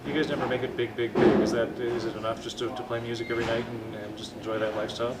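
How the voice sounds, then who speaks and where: Canadian guy interviewing a band, talks fast and keeps restarting, noisy camcorder audio. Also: mid-range voice, little pitch movement. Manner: halting, many fillers and restarts. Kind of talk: casual interview questions.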